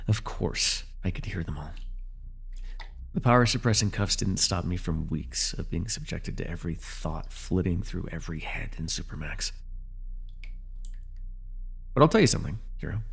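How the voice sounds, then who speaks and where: A man's voice: low-pitched, creaky, husky, exaggerated voice. A man playing a bitter, commanding telepathic villain who was once a hero, speaking with sardonic deliberation in an ASMR superhero roleplay. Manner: sardonic, deliberate, addressing a captive.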